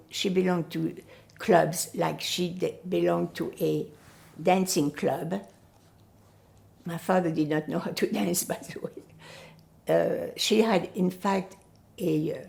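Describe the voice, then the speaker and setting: An older woman whose first language is Yiddish, recalling her family in an oral history interview, pausing often to search for words. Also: fairly low pitch, gravelly, darkish tone. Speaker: Holocaust survivor giving testimony.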